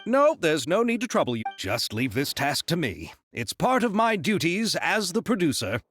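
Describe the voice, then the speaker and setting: A man's voice: on the high side, big pitch swings, normal speed, a bit bright, a little hiss or hum. A man voicing a timid, earnest young film producer in a video game, hesitant, stammering and polite. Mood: earnest, determined.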